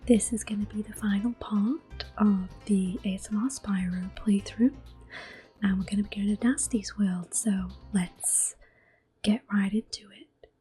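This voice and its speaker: A woman giving gentle, unhurried, soft whispered ASMR commentary on a game as the host. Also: medium pitch, fairly even intonation, breathy edge, soft background music.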